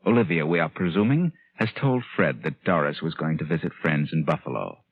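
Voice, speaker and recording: An actor playing a smug, sinister, theatrical plotter with a husky voice in a 1940s radio drama. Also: male voice, very deep voice, lively intonation, no room sound, full character voice. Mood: smug, knowing. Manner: deliberate, theatrical, knowing. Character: smug, sinister male plotter guiding a murder story. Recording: muffled, tinny 1940s radio recording with music.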